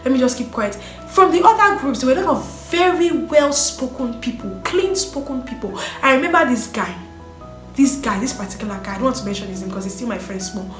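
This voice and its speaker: A woman recounts her own life story, earnest and animated. Her voice sits slightly high, and she speaks in an echoey room with faint music underneath. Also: fairly quick. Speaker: vlogger sharing her story. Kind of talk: personal storytelling.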